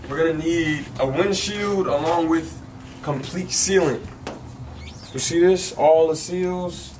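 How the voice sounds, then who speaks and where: A man's voice: fairly high pitch, a little hiss or hum. A young man vlogging outdoors, casually walking viewers through a car he is inspecting, with lots of ums. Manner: relaxed, chatty, lots of ums. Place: outdoors in a driveway.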